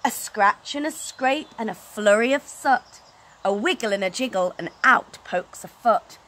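A woman storyteller reads to children with animated, dramatic delivery. Her voice is fairly high and bright, taken at an unhurried pace. She is outdoors on an open deck. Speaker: storytelling teacher. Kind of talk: expressive children's story reading. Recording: outdoor recording, clear background noise.

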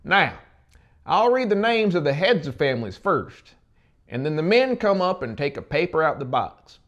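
Man acting loud folksy small-town lottery official. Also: on the high side, very animated, normal speed, highs cut off, pitched above the performer's own voice. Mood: brisk, commanding. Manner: loud, folksy, formal announcing. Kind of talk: dramatic audiobook reading.

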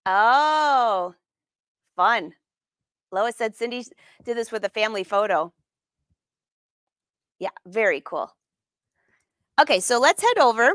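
A woman's voice: on the high side, animated, slow pace, pauses often, a bit bright, no room sound, clean studio sound. A middle-aged woman hosting a casual live craft demonstration, chatting informally and putting questions to her viewers. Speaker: host of a live sewing lesson. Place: sewing studio room, indoors.

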